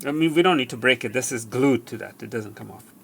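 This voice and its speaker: A man presenting a hands-on repair tutorial, explaining step by step in a halting way and repeating himself. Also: medium pitch, creaky, breathy edge. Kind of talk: hands-on how-to demonstration. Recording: faint background noise.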